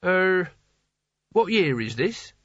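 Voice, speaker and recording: A man's voice: high-pitched, big pitch swings, takes it slowly, a bit bright, dry recording, lo-fi sound, pitched above the performer's own voice, exaggerated voice. A man voicing a naive, earnest, indignant teenage boy in a storybook, hesitant and protesting. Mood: nervous, hesitant.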